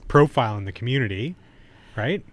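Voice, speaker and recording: A man's voice: medium pitch, lively intonation, fairly quick, dry recording. A man co-hosting a podcast in casual, irreverent, joking banter. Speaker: podcast co-host.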